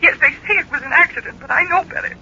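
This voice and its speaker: An actress playing an earnest, urgent, distraught young daughter in a 1940s radio mystery. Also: female voice, sits high, fast talker, bright, forceful tone, full character voice. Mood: distraught, pleading. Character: distraught grown daughter of a murdered amusement park owner. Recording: muffled, hissy 1940s radio broadcast recording.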